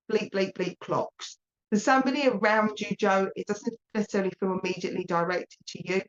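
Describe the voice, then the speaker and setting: Essex woman, mid-pitched, warm chatty medium relaying messages over slightly muffled stream audio. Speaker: medium giving readings. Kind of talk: live psychic reading to viewers.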